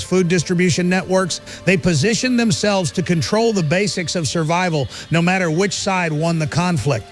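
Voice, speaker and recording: Man playing a sober analyst, slightly high voice. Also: lightly acted. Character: sober male analyst of a corrupt corporation. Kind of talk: audio drama interview.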